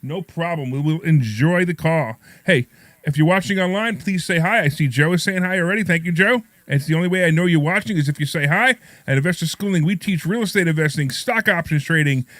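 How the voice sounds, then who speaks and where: A man's voice: a little high, a bit bright, no room sound. A middle-aged male radio host with a New York accent and a slight lisp, chatting casually in a rambling, joking way. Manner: rambling, repetitive, self-deprecating joking. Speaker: radio talk show host. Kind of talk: casual, jokey talk radio chat and anecdotes.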